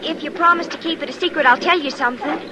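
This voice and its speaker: A woman's voice: high voice, fast talker, natural voice. An actress playing a naive, polite seventeen-year-old girl with an American accent, demure and earnest in old radio western style. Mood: confiding, earnest. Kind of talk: 1950s radio western acting. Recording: noisy old radio drama recording.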